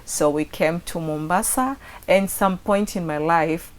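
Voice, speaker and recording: A young adult woman with a Kenyan coastal accent, talking in a chatty, animated way as an interview guest. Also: fairly low pitch, measured pace. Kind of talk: casual interview.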